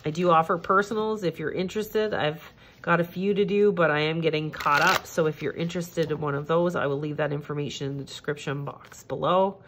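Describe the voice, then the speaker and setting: A woman with a stuffed-up, nasal voice reading tarot cards at home in a gentle, repetitive, reassuring way. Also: deep voice, fairly quick, hardly any pauses, noisy background. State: congested, stuffed-up nose. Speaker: tarot reader. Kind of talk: casual tarot reading monologue. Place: home, at a table.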